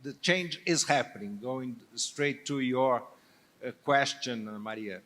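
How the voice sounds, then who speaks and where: Brief: older male panel guest, Portuguese accent, slow and hesitant, stumbles and restarts, mid-pitched voice. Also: a bit bright. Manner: halting, hesitant, frequent restarts. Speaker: business leader guest. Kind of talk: panel discussion on stage.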